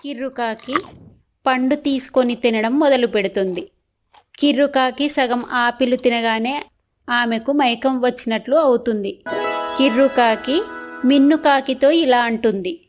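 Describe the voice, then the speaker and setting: A woman's voice: a little high, unhurried, soft background music. A woman narrator reading a scripted children's story.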